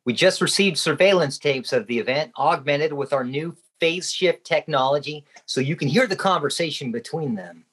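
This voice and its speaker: A man's voice: a little high, moderate pace, few pauses, a bit bright, close to an everyday voice. Man playing a purposeful secret agent, echoey. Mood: businesslike. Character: purposeful government agent running a secret experiment. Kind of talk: radio play acting. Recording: echoey room.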